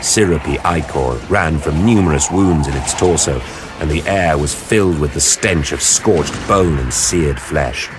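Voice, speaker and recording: A man performing dramatic scripted narration of a science fiction war story, grave, theatrical and deliberate in his delivery. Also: a bass voice. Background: dramatic music with battle sound effects.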